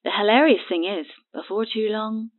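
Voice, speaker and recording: An actress playing a frightened, paranoid woman recounting a horror in halting speech over a phone in an audio drama. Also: medium pitch. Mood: bitter. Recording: thin, phone-like band-limited sound.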